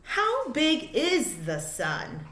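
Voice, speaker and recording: A woman reading a children's book aloud like a teacher, in a deliberate, expressive way, phrase by phrase for young listeners. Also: sits high, reverberant. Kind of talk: children's storybook read-aloud.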